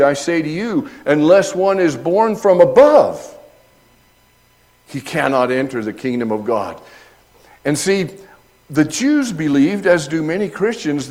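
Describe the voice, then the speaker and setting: A man's voice: on the high side, darkish tone. A middle-aged man teaching a sermon, explaining scripture step by step and growing emphatic when he reads passages aloud. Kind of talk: expository sermon.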